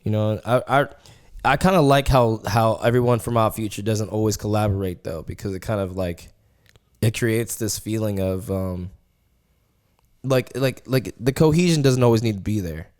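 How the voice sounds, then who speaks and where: A man's voice: fairly low pitch, moderate pace, a little mellow. A man in his twenties hosting a podcast, chatting casually with lots of fillers and repeated words. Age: 20s. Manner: rambling, full of fillers and repeats. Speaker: podcast host. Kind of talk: casual chat.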